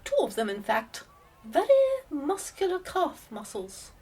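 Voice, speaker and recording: A woman's voice: a little high, big pitch swings, measured pace, darkish tone, soft background music. A woman playing a mysterious, eager soothsayer with a theatrical manner in a comedy audio drama. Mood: mystic, intrigued. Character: soothsayer reading tea leaves, mysterious and eager.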